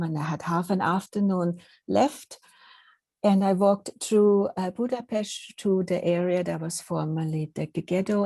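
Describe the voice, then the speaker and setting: Swiss lady lecturing, bit of fry in her voice, dark mellow tone, stops and restarts a lot. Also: on the low side, no room sound. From Switzerland. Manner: measured, with frequent restarts and fillers. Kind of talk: presentation with slides.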